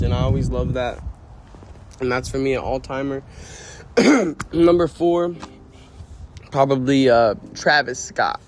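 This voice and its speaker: A man's voice: mid-range voice, background noise. A young man from Quebec, a musician being interviewed, talking casually with many fillers and restarts. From Quebec, Canada. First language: French. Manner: rambling, lots of fillers and restarts, slangy.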